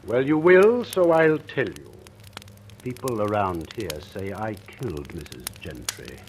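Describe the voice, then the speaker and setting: An actor playing an imperious, sardonic and menacing writer in a 1940s style radio drama. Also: male voice, fairly low pitch, sing-song, measured pace. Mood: harsh, sardonic. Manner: imperious, commanding, volatile. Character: domineering, sardonic writer, rumoured wife-killer, menacing. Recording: noisy vintage radio recording with clicks.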